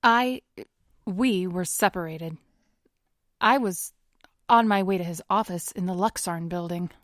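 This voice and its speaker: A woman playing a poised, steely, injured society lady in an audio drama, determined, with halting breathy pauses. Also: on the low side, a bit bright, no room sound, studio quality. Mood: guarded. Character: poised, steely injured society heiress in her city's war.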